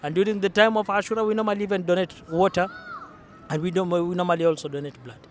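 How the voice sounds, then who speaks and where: A man's voice: sits high, steady tone, fast talker, a little hiss or hum. A young man from Kenya, interviewed outdoors at a shrine, explaining his practices earnestly with frequent restarts. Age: young adult. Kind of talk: casual interview.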